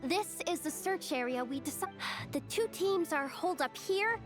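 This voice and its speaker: Squeaky, excitable little companion creature. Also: female voice, moderate pace, music in the background. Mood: urgent. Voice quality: squeaky, childlike. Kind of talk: video game character dialogue.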